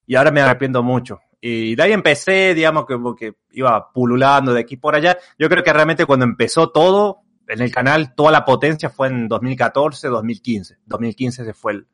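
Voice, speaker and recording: A man's voice: medium pitch, fairly quick, few pauses, a bit bright. A young man from Argentina with an Argentine accent, a content creator telling his story in a casual interview, rambling with many fillers and restarts. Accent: Argentine Spanish.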